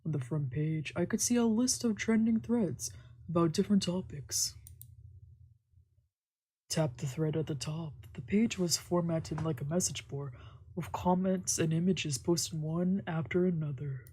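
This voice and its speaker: Husky, mellow woman reading aloud. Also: on the low side, unhurried, low-quality recording. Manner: playful, slangy asides. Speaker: streamer doing a visual novel let's play. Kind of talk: reading game text aloud with casual reactions.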